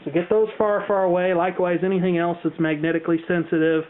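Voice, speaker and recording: A man's voice: high-pitched, little pitch movement, quick pace. An American man presenting a casual how-to demonstration, explaining things in a chatty, careful way. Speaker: tutorial presenter. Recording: thin, phone-like band-limited sound.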